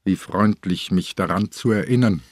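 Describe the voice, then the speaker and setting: An actor playing a defiant old police commissioner in a radio crime drama, dry and sarcastic in manner. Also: male voice, low-pitched, normal speed, no room sound. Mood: defiant, sardonic. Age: older.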